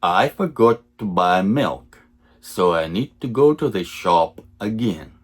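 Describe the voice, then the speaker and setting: A man teaching English, reading simple example sentences slowly and repeating each one for learners. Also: lowish voice, animated, slightly rough. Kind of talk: slow lesson reading example sentences twice.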